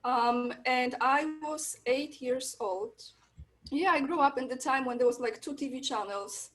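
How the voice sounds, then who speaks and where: High-voiced Polish woman telling stories. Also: measured pace, a bit bright. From Poland. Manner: eager, rambling storyteller. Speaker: guest. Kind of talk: casual interview chat.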